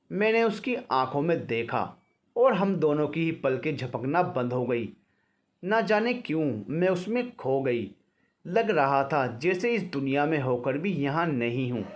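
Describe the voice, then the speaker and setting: A man tells a romantic first-person story from a script. His voice sits a little high, with very varied intonation and a slow pace. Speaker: story narrator.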